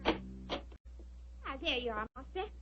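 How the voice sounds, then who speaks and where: A simple, servile housemaid in an old radio play. Her voice is very high and singsong with big swoops, on a tinny, narrow-band recording. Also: huge pitch swings, takes it slowly, a bit bright, slightly rough, heavily acted. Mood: chirpy, puzzled. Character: chirpy, simple, deferential British housemaid. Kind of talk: 1940s radio acting.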